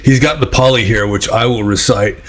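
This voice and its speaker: A man with an American accent and a husky voice, talking informally and a little ramblingly as a Buddhist teacher answering questions. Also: medium pitch, brisk. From United States.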